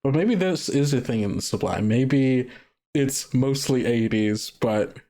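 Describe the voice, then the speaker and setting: A man's voice: mid-range voice, expressive, unhurried, warm tone. A man running a tabletop roleplaying game, narrating casually and hesitantly as he thinks aloud and trails off. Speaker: game master.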